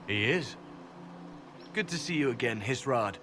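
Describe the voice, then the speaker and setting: A disciplined agent in a fantasy game. He speaks in a mid-pitched voice, measured, firm and earnest. Faint noise sits behind the voice. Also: lively intonation, pushed and bright. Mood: polite. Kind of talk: video game dialogue.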